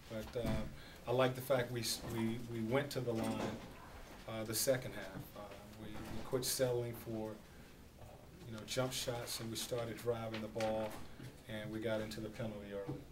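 A middle-aged man, a basketball head coach, answering questions at a postgame press conference in a measured, halting way with many fillers. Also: lowish voice, little pitch movement. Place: small press room at a podium. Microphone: podium microphone on a stand. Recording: distant camera audio, noisy room.

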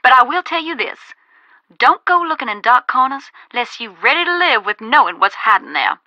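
An actress playing a folksy, wistful ghost-hunting author from a video game, with a twangy Georgia accent. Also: female voice, high voice, very animated, moderate pace, sharp tone, dry recording, lo-fi sound, full character voice. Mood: somber. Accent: Southern US, Georgia. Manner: folksy, storytelling. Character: wistful Southern woman ghost hunter and author.

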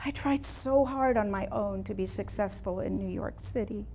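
A woman in her sixties playing an ailing, reflective elderly woman painter in a staged dramatic monologue, with theatrical, measured delivery and long pauses. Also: mid-range voice, dark tone, slight background noise, close to an everyday voice. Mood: regretful. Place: small indoor room before a seated audience.

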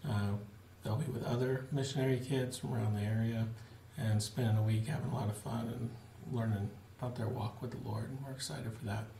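A man in his forties giving a relaxed, plain-spoken video update to supporters from a small home office, with frequent fillers. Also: lowish voice, a little fast, few pauses, slight background noise. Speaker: missionary giving a family update. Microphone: webcam at desk. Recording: roomy webcam audio with faint ambience.

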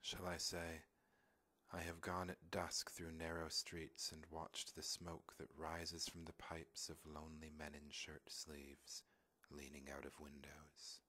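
A man reading a poem aloud in a measured, expressive dramatic recitation, with phrases that often trail off quietly. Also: very deep voice. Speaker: poetry reader.

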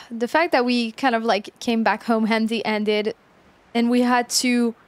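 A woman's voice: a little high, fairly even intonation, a little slow. A woman in her twenties giving casual, teasing live reactions as a game streamer. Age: 20s. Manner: playful, teasing, giggly.

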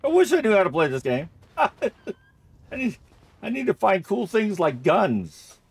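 Male gamer for casual playthrough commentary: lively swooping pitch, fry in the voice, jokey and rambling. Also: unhurried, dry recording. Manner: rambling, jokey, repeats himself.

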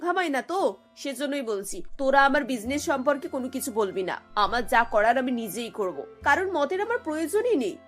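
A woman's voice: high-pitched, big pitch swings, fairly quick, a bit bright, soft background music. A woman voicing a snobbish, bossy middle-aged mother-in-law in a cartoon, scornful and dropping English words into her talk. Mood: bossy, annoyed. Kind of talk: Bengali cartoon voice acting.

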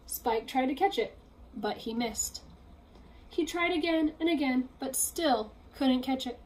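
A woman's voice: high voice, animated, measured pace, darkish tone, poor audio. A young adult woman reading a picture book aloud to children in a teacherly role. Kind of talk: storybook read-aloud. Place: small room at home, indoors.

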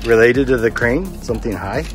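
A young adult man who is a parent filming an outdoor toy unboxing and gently encouraging his children with questions. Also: medium pitch, brisk, over music. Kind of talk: casual chat with children. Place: outdoor wooden deck.

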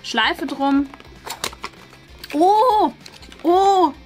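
A woman in her thirties with a high voice, gushing her way through a casual unboxing as host. She talks straight to viewers in an enthusiastic, chatty way. Faint music plays behind her. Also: animated, extremely slow, a little mellow. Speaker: host unboxing an advent calendar. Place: small furnished indoor studio.

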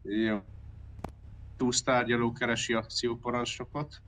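A man's voice: medium pitch, little pitch movement, a bit bright, a little hiss or hum. A man playing a negotiator in an online roleplay game, talking casually and relaying messages. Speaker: roleplay player acting as negotiator.

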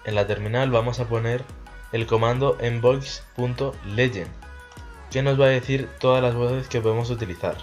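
A man's voice: medium pitch, moderate pace. A young man with an accent from Spain, presenting a casual video game tutorial as host over background music.

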